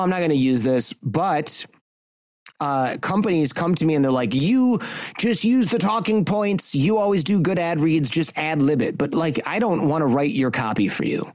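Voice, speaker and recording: Candid podcast host with a dry voice. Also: male voice, a little high, a little mellow, no echo. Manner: candid, rambling, lightly profane. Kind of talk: casual chat.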